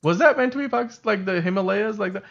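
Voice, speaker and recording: A man's voice: sits high, fast talker, gravelly, a bit bright. Livestream co-host, male, Urdu first language, loose and chatty, slightly muffled stream sound. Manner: chatty, self-correcting, laughs often. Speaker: podcast co-host. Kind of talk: casual livestream chat.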